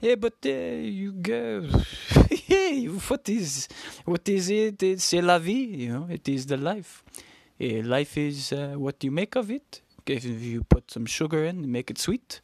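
A woman voicing a philosophical Frenchman with a thick French accent, halting and slipping into French. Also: fairly low pitch, expressive, vocal fry, a little mellow, no echo, heavily acted. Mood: shrugging. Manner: halting, mixing French phrases. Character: shrugging middle-aged Frenchman, philosophical.

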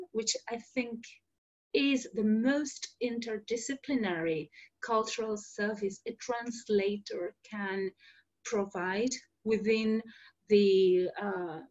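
A woman's voice: mid-range voice, lo-fi sound. A woman in her thirties from Argentina, a native Spanish speaker, hosting a solo podcast in an earnest, explanatory way with fillers and restarts. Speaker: podcast host.

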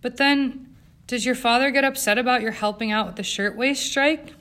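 A woman's voice: on the high side, moderate pace, fairly bright tone, voice pushed up, natural voice. A woman voicing an indignant, earnest young society woman from an audiobook, emphatic and accusing. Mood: accusing, pressing. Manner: emphatic, sputtering outrage. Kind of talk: audiobook character acting.

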